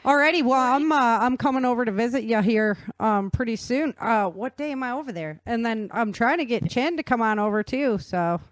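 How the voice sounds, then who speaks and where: A woman's voice: on the high side, expressive, brisk, few pauses, dry recording. A woman hosting a podcast in casual chatty conversation. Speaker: podcast host.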